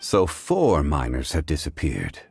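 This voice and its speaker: A calm, scholarly gentleman from a video game. His voice is deep and formal, with measured phrasing and richly varied intonation, over clear background music. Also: full character voice. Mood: inquiring. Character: calm, erudite gentleman, expert appraiser of stones.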